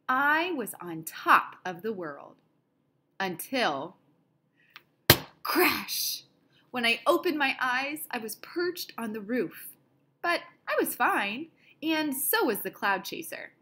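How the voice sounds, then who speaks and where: Lady reading a kids book out loud, slow and expressive, really animated, bit breathy. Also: fairly high pitch. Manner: deliberate, dramatic phrasing. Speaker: storybook reader. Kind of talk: expressive children's read-aloud.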